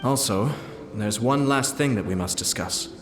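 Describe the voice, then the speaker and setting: A man playing a composed, calculating young nobleman in a video game, speaking in a measured, formal, deliberate way. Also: medium pitch, close to an everyday voice. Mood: measured, serious. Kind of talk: video game character dialogue. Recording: music behind the voice.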